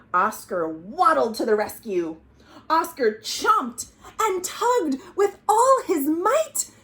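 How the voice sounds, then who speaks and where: A woman's voice: sits high, fairly bright tone. A woman reading a children's storybook aloud as a storytime host, dramatic and exclamatory. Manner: exclamatory, dramatic, asking listeners questions. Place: at home.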